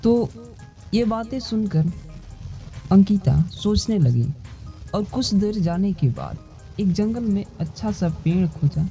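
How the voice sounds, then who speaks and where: A narrator telling a children's cartoon story in a halting, repetitive way. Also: mid-range voice, animated, creaky, warm tone. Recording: dull lo-fi sound, music behind. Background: music.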